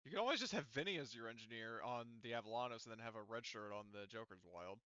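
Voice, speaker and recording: A man runs a casual tabletop game in a forceful, smooth voice. He talks through the details out loud, pausing to correct himself. The sound is very dry and a little lo-fi, like a voice chat. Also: mid-range voice, animated, dry recording. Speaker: game master. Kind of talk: casual tabletop game talk, running a session.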